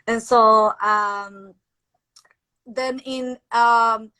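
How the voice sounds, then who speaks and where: Slow bright-voiced woman guest. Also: fairly high pitch, steady tone. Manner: slow, hesitant, many fillers. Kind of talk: casual history talk.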